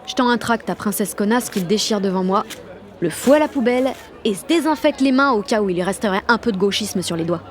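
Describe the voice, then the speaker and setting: A woman's voice: mid-range voice, expressive, fairly quick, close to an everyday voice. An actress playing a rebellious, emotional sixteen-year-old girl who narrates an audio drama in blunt, sarcastic slang. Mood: sardonic. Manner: slangy, blunt teenage delivery. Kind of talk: first-person audio fiction narration.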